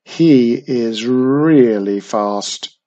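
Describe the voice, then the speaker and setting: A storyteller voicing a wary little old man who tells spooky tales slowly and with emphasis. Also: medium pitch, warm tone, muffled recording. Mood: dramatic. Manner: slow, emphatic, dramatic. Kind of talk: storybook reading.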